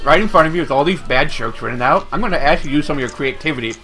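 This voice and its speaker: A young man casting esports, chatting and teasing his co-casters in quick banter over a headset. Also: fairly high pitch, expressive, sharp tone. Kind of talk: casual chat between casters.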